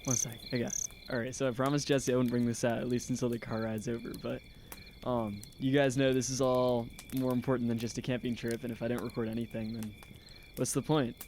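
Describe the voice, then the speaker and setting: Casting: man as earnest teen guy, mid-range casual rambling voice, audio drama, crickets clearly behind him. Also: mid-range voice, little pitch movement, brisk, darkish tone, natural voice. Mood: eager, earnest. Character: eager high school boy, amateur investigator. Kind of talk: naturalistic audio drama dialogue. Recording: outdoor night noise, crickets. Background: crickets and insects.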